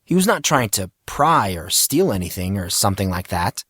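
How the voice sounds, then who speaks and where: A man's voice: on the low side, very animated, moderate pace, a bit bright, no room sound, studio quality. A man reading scripted, dramatic fiction as an audiobook narrator.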